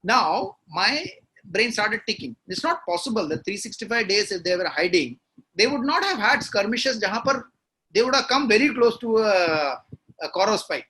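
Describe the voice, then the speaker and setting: A man's voice: high voice, very animated, normal speed, bright, forceful tone, slight background noise, poor audio. A man with an Indian accent, a novelist guest in a conversational interview, explaining his work reflectively and slipping into Hindi at times.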